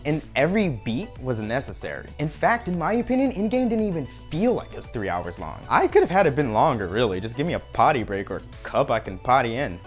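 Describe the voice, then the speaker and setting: A man in his thirties giving an enthusiastic, emphatic movie review to camera as a video reviewer. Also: mid-range voice. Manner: animated, emphatic. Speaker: film reviewer. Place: furnished room at home. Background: background music.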